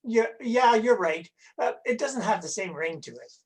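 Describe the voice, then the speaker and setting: An eager little dragon played by a woman with a slightly high voice. The character is childlike, playful and easily sidetracked, chattering through a church puppet skit with hesitant, excitable turns. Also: moderate pace, lightly acted. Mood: flustered, hesitant. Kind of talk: scripted children's church dialogue.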